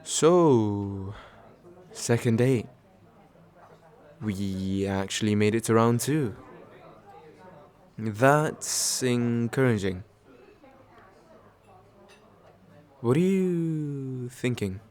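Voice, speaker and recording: Male actor as nervous second-date guy, slow pace, halting, self-deprecating, gently reassuring, mid-pitched, ASMR roleplay. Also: animated. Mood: awkward, warm. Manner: hesitant, soft-hearted, self-deprecating, halting with small pauses. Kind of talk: ASMR comfort roleplay, acted as casual chat.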